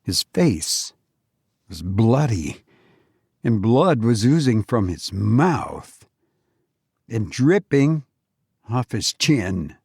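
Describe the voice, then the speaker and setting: Unhurried man hosting a podcast, halting delivery. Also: lowish voice, big pitch swings, gravelly, a little mellow, breathy edge, no echo, clean studio sound. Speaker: podcast host and narrator. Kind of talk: informal podcast hosting and first-person storytelling.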